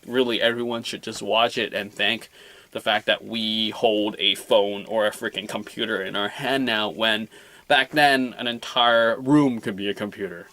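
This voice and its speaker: A man's voice: medium pitch, pushed and bright, no echo. Man giving a casual, rambling review. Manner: rambling, colloquial, repeats words and phrases. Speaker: film reviewer. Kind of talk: casual unscripted movie review.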